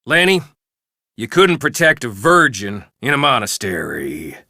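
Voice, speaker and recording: A sneering, bright-voiced outlaw bully. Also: male voice, medium pitch, very animated, dry recording, clean studio sound, heavily acted. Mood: scornful. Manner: mocking, insulting, drawn-out taunts. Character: mean, sneering male Old West gang outlaw bullying his companions. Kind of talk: video game character barks.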